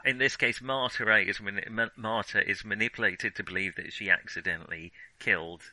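Chatty film podcast guy, really bright forward voice, lowish, very dry recording. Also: steady tone, fairly quick, dry recording, rough recording. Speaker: film discussion podcast co-host. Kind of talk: casual chatty film discussion.

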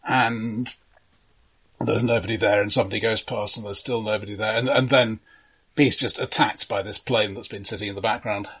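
A man's voice: lowish voice, fairly even intonation, normal speed, a bit bright, breathy edge. A man co-hosting a film podcast, casually explaining things in an analytical way.

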